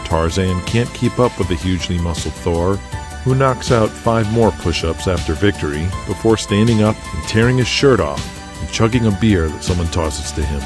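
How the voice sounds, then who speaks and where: A man hosting and narrating an audio drama podcast in a steady, measured storytelling manner. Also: deep voice. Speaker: narrator and host. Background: music.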